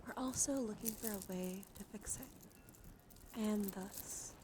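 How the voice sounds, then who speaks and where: Gentle ASMR woman, often whispering, noisy background. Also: mid-range voice. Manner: slow, halting short phrases, often dropping into whispers; gentle, reassuring. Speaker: live streamer, ASMR host. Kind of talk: ASMR roleplay, soothing bedtime monologue.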